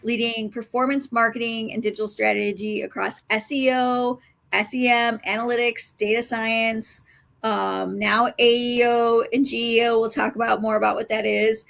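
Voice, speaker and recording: A woman's voice: on the high side, flat delivery, normal speed, creaky, fairly bright tone. A woman hosting an interview, introducing her guest in a chatty way with many fillers and restarts. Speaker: host.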